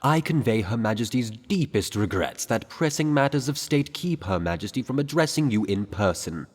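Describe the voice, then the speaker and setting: A man playing a pompous, smooth-tongued royal chamberlain in a fan-made radio drama, formal, measured and condescending. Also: medium pitch, expressive, natural voice. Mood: formal, deferential. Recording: long hall reverb.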